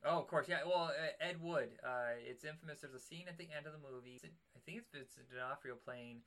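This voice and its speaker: A man's voice: on the high side, brisk, fairly bright tone. A man with a nasal voice, hosting a podcast in casual, rambling chat. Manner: rambling, self-deprecating. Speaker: podcast host.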